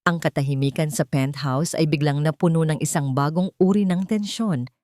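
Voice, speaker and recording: Mellow, even-toned woman storyteller. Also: deep voice, moderate pace, no echo, studio quality. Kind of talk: dramatic scripted story narration.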